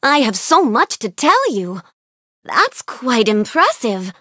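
A woman voicing a kind, bookish young fairy-tale princess in a video game, speaking politely and gently with wide-eyed wonder. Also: fairly high pitch, very animated, takes it slowly, no room sound, clean studio sound, full character voice. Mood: friendly, encouraging. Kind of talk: video game character voice clips.

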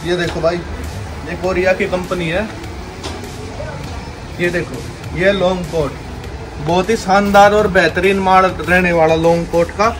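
A young man with a slightly raised voice rattles off a pushy, repetitive sales patter. He is filmed on a handheld phone inside a large clothing warehouse. Music plays clearly behind him. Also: high-pitched, fairly even intonation, a little slow, pauses often, rough recording. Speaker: wholesale clothing seller. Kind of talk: sales pitch video.

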